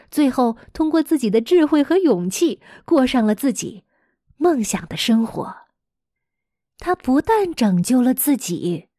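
High-voiced woman telling stories to children. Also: a little mellow, no room sound, clean studio sound. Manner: conversational, dramatic emphasis, addresses listeners directly. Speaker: host and teacher of a children's storytelling podcast. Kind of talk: expressive scripted storytelling for children.

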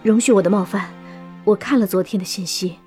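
A woman's voice: medium pitch, fairly quick, warm tone, soft background music, natural voice. An actress playing a polite, earnest, determined young woman in a Chinese science-fiction radio drama. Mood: urgent, grave.

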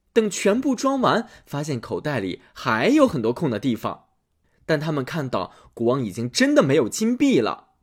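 A man reading a fairy tale aloud as a storyteller, in a lively, expressive way. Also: a little high, slightly rough.

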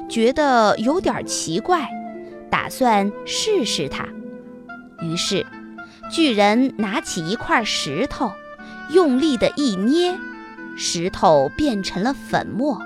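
A woman narrating a scripted fairy tale for children as the host, with expressive, deliberate storytelling. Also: a little high, music in the background.

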